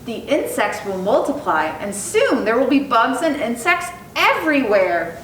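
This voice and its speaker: A woman reading the part of a patient mother in a children's storybook, gently questioning in a singsong, drawn-out way. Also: sing-song. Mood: questioning, concerned. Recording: echoey room, distant mic, faint rumbling noise.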